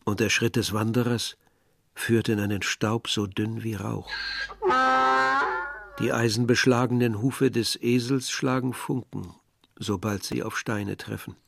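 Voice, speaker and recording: An actor playing a reflective first-person narrator of a village youth, measured and pensive in a literary radio play. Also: male voice, on the low side, steady tone, creaky, no room sound. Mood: brooding.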